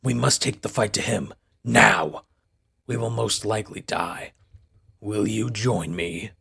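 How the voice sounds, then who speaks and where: A man voicing a solemn, brooding alien rebel of the shadows in a comic book dub, speaking gravely and formally. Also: on the low side, slow, bright, forceful tone, heavily acted. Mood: resolute.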